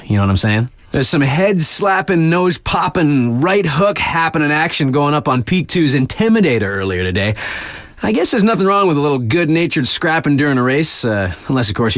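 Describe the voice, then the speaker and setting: An actor playing a laid-back, wry radio DJ at a snowboard resort, chatting in slangy, conversational announcements for a game. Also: male voice, a little high, no room sound, clean studio sound. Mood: wry, casual.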